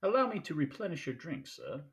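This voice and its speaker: An actor playing a jovial, scheming older crime boss in a 1940s style radio play, with grandiloquent, over-polite manners. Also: male voice, a little high, very animated, fairly quick. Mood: relishing, grandiose.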